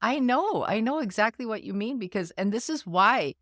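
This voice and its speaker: A woman in her fifties in casual podcast chat, playful and quick to laugh. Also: fairly high pitch, expressive, fairly bright tone, no room sound, clean studio sound. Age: 50s. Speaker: podcast co-host.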